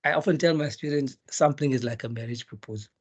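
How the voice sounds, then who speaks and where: Middle-aged man from South Africa, a lecturer with a slightly husky voice, casual and jokey, over a video-call mic. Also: mid-range voice, quick pace. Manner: chatty, jokey teacher, asks rhetorical questions. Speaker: dental researcher and lecturer. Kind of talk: webinar lecture.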